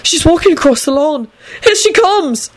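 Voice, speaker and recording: A woman voicing an excitable, knowing young man in a storybook, blunt and eager in manner. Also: fairly high pitch, a little fast, pitched above the performer's own voice. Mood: terrified.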